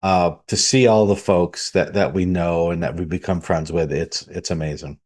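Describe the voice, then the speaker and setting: Man, lower-pitched voice, podcast host, conversational delivery. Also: fairly even intonation, moderate pace, darkish tone.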